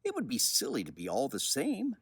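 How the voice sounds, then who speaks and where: An older man with a husky voice, speaking expressively and without hurry like a children's author telling a story. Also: high voice, huge pitch swings, fairly quick, fairly bright tone. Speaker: children's book author reading aloud. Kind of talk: personal commentary and storybook reading.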